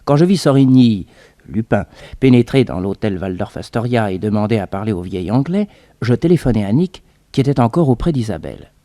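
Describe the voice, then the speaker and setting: A man's voice: on the low side, animated, fairly quick, dark tone, no echo, natural voice. A man playing a detective's loyal assistant who narrates a 1960s French radio serial. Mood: matter-of-fact. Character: detective's loyal male assistant, recounting the case briskly.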